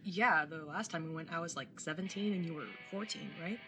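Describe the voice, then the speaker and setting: A woman's voice: on the low side, brisk, music in the background, natural voice. A young woman playing a caring, slightly awkward and wry older sibling in a casual audio drama. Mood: relaxed, tentative. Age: young adult. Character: awkward, caring older sibling, early twenties, tattooed, teasing. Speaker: narrator and lead character. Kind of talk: audio drama acting with first-person narration.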